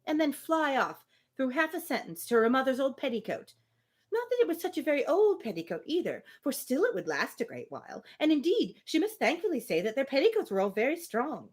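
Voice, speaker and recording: A woman's voice: high-pitched, big pitch swings, a little fast, pitched above the performer's own voice. A woman reading a spirited, opinionated young woman from a Regency novel, emphatic and formal. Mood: gushing mimicry.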